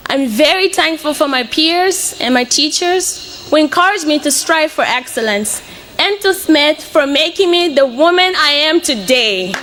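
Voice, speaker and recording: A woman's voice: sits high, a bit bright, slight background noise. A woman in her twenties with a Mozambican accent and Portuguese as her first language, giving an earnest, prepared personal speech as a student speaker. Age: 20s.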